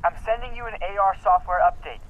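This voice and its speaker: A man's voice: sits high, quick pace, narrow, tinny audio, close to an everyday voice. An actor playing a helpful teenage boy tech genius in a video game, a man. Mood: focused, helpful. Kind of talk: video game cutscene acting. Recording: dramatic game music behind.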